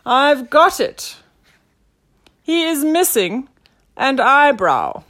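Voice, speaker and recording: Storybook whiskered detective, male, pompous and bumbling, loud bluster with big pitch swings woman performing. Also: big pitch swings, drawn-out words, full character voice. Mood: excited.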